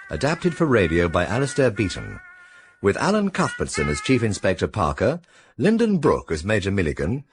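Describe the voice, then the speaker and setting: A man's voice: lowish voice, husky. A man with a British accent giving a scripted radio drama introduction as announcer.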